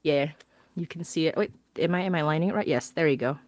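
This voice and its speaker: A woman's voice: sits low, fast talker, a little mellow, no echo. A woman chatting casually on a livestream as a guest artist, laughing often and trailing off with ums.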